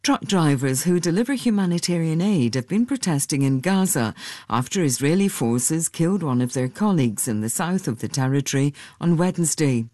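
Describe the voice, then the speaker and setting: A woman reading a radio news bulletin in a measured, formal way. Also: low-pitched, lively intonation, mellow, dry recording, clean studio sound. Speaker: newsreader. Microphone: studio microphone.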